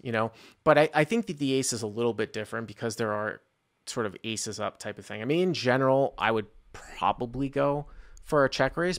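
A man in his thirties hosting a poker call-in show, talking analytically and informally into a close studio mic, thinking out loud. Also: medium pitch. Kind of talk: casual analytical poker discussion. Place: small indoor studio. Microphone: close desktop studio microphone, headset on.

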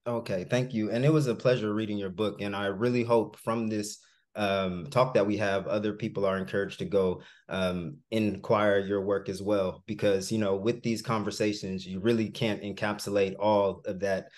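A man hosting an interview over a video call, asking polite and measured questions. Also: fairly low pitch, steady tone, darkish tone. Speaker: podcast host. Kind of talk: hosted interview. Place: home room, plain wall.

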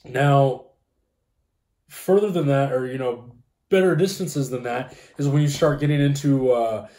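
Mellow-voiced man in an echoey room. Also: medium pitch, measured pace. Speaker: co-host explaining ham radio. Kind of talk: casual explainer chat. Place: small room at home, indoors. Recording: roomy, echoey home room.

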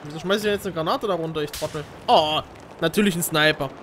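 Higher-voiced man, casual gamer. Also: fast, fairly bright tone, a little hiss or hum. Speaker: video game commentator. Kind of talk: casual gaming chat with a co-player. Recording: faint game gunfire behind voice. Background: video game gunfire and ambience.

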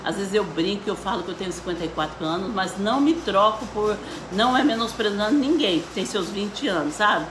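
A woman's voice: medium pitch, animated, a little fast, hardly any pauses, roomy sound. Fifty-something lady chatting while she cooks, laughs a lot, kitchen noise behind her. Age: 50s. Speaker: home cook hosting a live stream. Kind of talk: casual cooking chat. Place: home kitchen, indoors. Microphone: phone camera.

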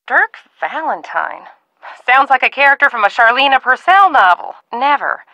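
A woman acting a kindly, fretful middle-aged ranch-owner aunt in a video game, talking in a folksy, motherly, expressive way. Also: sits high, normal speed, no echo, full character voice, poor audio. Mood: excited, starstruck.

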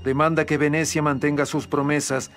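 A man's voice: on the high side, flat delivery, a little fast, a bit bright, soft background music, natural voice. An actor dubbing a young male interpreter who speaks with polite, careful diplomacy. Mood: diplomatic. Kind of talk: Spanish dub of a historical series.

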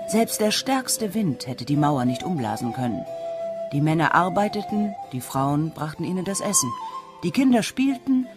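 A woman's voice: lowish voice, sing-song, moderate pace, music in the background. A woman narrating a folk tale as a scripted audiobook. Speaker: storyteller.

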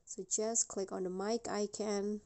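A woman with a very soft-edged, mellow voice at a medium pitch hosts a casual how-to voice-over. She explains things step by step, with a slightly hesitant, halting delivery. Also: little pitch movement. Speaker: tutorial host.

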